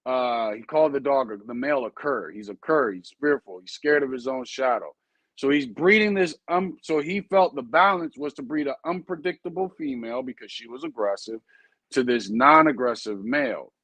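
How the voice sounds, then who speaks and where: A man in his usual middle pitch, talking casually and earnestly as a show host. He lays out his points with everyday comparisons and keeps checking in with his listeners. The sound is dry and close, with no room behind it. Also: a bit bright, no room sound. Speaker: show host and dog breeder. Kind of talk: casual podcast talk.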